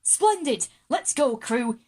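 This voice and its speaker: A woman's voice: high-pitched, lively intonation, slow, a little mellow, full character voice. A woman playing an egotistical, bossy male pirate general in a kids' audio drama, with a pompous, theatrical manner. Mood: commanding.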